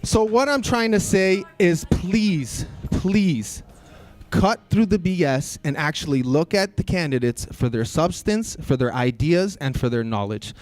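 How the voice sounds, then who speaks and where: Man, candidate debate, pointed and emphatic, slightly high voice, wide pitch swings. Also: lively intonation, a little slow. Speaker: election candidate. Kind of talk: debate closing remarks.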